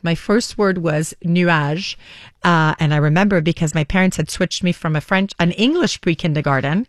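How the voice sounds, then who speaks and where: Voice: medium pitch, moderate pace, dry recording. A radio host whose first language is Greek, chatting energetically on live radio from a studio and steering an interview.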